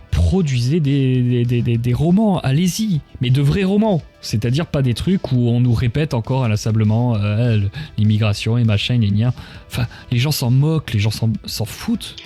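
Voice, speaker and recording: A man's voice: medium pitch, warm tone. A man talking casually on a livestream, giving his opinions in a blunt, emphatic, colloquial manner. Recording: music behind the voice. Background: music.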